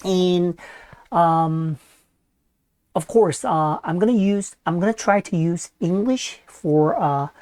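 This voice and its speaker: Low-voiced Korean woman, halting. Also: a little mellow. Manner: halting, searching for English words, switching into Korean. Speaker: reaction video host. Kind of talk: casual reaction commentary.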